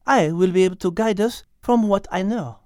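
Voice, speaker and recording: A man's voice: high voice, normal speed, darkish tone, dry recording, clean studio sound, voice pushed up, lightly acted. A man voicing a refined, knowledgeable female cat with a French accent and precise, formal wording, in an audiobook. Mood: composed, reasoning. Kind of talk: audiobook character acting.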